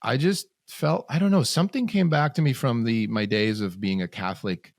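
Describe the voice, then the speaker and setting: A man's voice: mid-range voice, normal speed, no echo, clean studio sound. A man, a podcast guest telling his story in bursts, with sudden rises and restarted phrases. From Switzerland. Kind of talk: casual storytelling interview.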